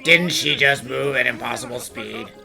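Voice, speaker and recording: A man's voice: medium pitch, moderate pace, vocal fry, sharp tone, soft background music. A man voicing a dry, sceptical detective's sidekick in a fan dub. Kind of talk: fan dub game voice acting.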